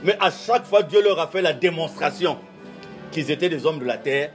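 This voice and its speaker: A high-voiced man speaking French as a second language, delivering a formal interview statement as a church official. He talks in a measured, oratorical way, repeating phrases for emphasis. Faint music plays behind him. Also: animated. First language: not French.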